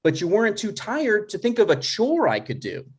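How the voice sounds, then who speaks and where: A man's voice: high-pitched, huge pitch swings, moderate pace, a little mellow, breathy edge, exaggerated voice. A man playing a defensive, scheming thirty-six-year-old son in a live comedy table read. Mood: exasperated. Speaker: writer and actor.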